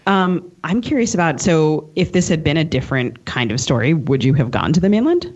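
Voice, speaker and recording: A man's voice: on the high side, sing-song, fairly quick, muffled recording. A man co-hosting a podcast, asking conversational interview questions with long, thoughtful lead-ins.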